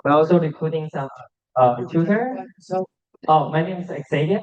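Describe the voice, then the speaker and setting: Founder guy doing a quick pitch at a meetup, lots of uh and like, kinda dull muffled audio. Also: a little mellow. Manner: hesitant, full of uh and like fillers. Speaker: startup founder making an announcement. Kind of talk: casual spontaneous announcement.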